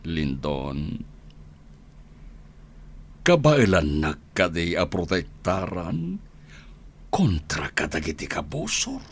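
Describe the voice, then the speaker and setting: A man playing an older, ruthless crime boss father in a radio drama, with an Ilocano accent and a husky, deliberate delivery. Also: low voice, wildly swooping pitch, a little hiss or hum, heavily acted. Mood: solemn. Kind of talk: Filipino radio drama acting.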